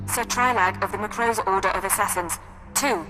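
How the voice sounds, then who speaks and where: An actress playing an efficient, resolute intelligence operative in a sci-fi game, delivering crisp briefings. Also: female voice, mid-range voice, moderate pace, sharp tone, faint music behind, natural voice, poor audio. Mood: businesslike. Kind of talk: scripted sci-fi game acting.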